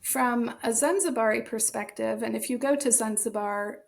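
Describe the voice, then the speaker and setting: Woman, American accent, podcast guest, measured and thoughtful, gravelly edge. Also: a little high. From born in Germany, raised in the United States. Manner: thoughtful, measured, with occasional soft laughs. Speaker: guest, author and translator. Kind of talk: podcast interview.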